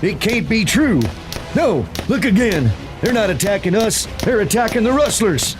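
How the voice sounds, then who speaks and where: An actor playing an alarmed, rustic ranch hand in a western radio drama. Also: male voice, high-pitched, lively intonation, normal speed, music in the background. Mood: alarmed, amazed. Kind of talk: old-west radio drama acting.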